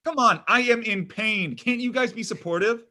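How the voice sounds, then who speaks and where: An actor as a needy, high-pitched whiny man. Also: normal speed, sharp tone. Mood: exasperated. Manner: exasperated, melodramatic, argumentative. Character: needy, whiny, self-dramatizing thirty-four-year-old son. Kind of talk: comedy script table read.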